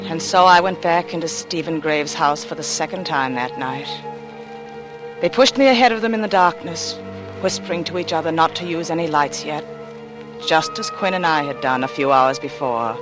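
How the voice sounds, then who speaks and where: A young American woman acting a frightened but plucky heroine in a 1940s radio drama, speaking with breathless earnestness over an old broadcast. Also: low voice. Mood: tense, haunted.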